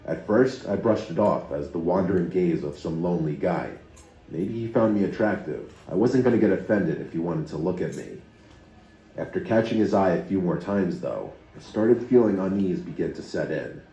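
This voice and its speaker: Deep dark male narrator, steady scripted storytelling, muffled roomy playback. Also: moderate pace. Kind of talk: scripted first-person narration.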